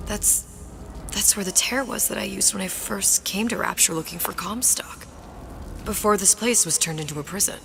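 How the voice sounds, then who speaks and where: A woman's voice: mid-range voice, moderate pace, gravelly, noisy background, close to an everyday voice. An actress playing a troubled, bookish young woman in a dramatic video game. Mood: pensive. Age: young adult. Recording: music behind the voice.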